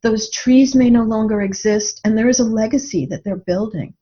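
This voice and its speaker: A woman's voice: fairly high pitch, brisk, dark tone. Reflective woman on a dull lo-fi recording. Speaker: podcast guest, writer and coach. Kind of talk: reflective interview talk. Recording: dull lo-fi audio.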